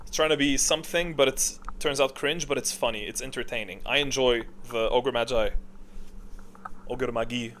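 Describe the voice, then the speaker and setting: Rambling man with clear background noise. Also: medium pitch, normal speed, fairly bright tone. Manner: rambling, thinking aloud, self-correcting. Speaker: video creator and gamer. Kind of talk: casual off-the-cuff commentary ranking game characters.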